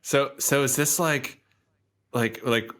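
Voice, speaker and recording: Male RPG player, casual and jokey, bright forceful tone, halting repetitive delivery. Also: mid-range voice, fairly even intonation. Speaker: tabletop game player. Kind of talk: casual, jokey roleplay chat.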